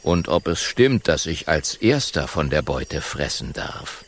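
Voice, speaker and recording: A man voicing a vain, pompous male lion king with a deep, slow, rumbling growl, in a children's audiobook. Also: sing-song, exaggerated voice. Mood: smug. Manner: self-important, drawling.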